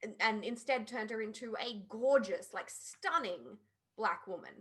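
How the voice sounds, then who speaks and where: A woman's voice: fairly high pitch, expressive, unhurried, fairly bright tone. Woman giving emphatic, casual opinions. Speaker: podcast panelist. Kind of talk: casual opinionated review chat.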